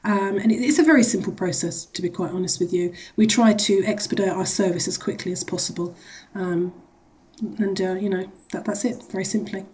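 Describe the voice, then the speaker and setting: A woman's voice: mid-range voice, fairly quick, a little mellow. A woman being interviewed as a charity representative, explaining things conversationally and often circling back over her phrases. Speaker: charity executive, interview guest.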